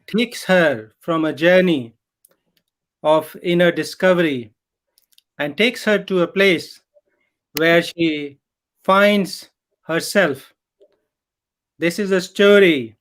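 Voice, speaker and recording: A man hosting a program, introducing a guest author in a slow, earnest, admiring way. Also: high voice, fairly bright tone. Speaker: host.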